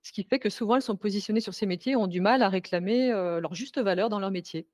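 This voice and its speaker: A woman's voice: medium pitch, quick pace, a little mellow. A woman hosting an interview, asking questions and commenting in fluent, prepared-sounding phrasing. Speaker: interview host, coach for women entrepreneurs.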